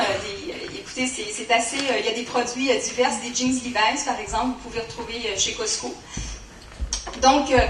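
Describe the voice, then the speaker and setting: A woman with a Canadian French accent giving a conference presentation, often pausing with fillers. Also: fairly high pitch, a bit bright, reverberant, distant mic, a little hiss or hum, poor audio. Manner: hesitant, many fillers. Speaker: conference speaker.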